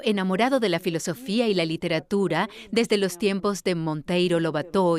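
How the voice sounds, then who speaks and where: A woman dubbing a female interviewer, asking clear, poised questions in a composed way. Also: mid-range voice, natural voice. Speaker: dub voice of an interviewer. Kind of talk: voice-over dub of an interview.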